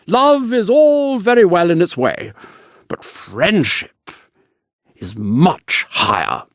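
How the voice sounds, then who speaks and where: A man's voice: high voice, big pitch swings, slow pace, dry recording, studio quality, full character voice. A man voicing a pompous, self-centred old male water rat in a storybook, with haughty, exclamatory delivery. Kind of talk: storybook character voice.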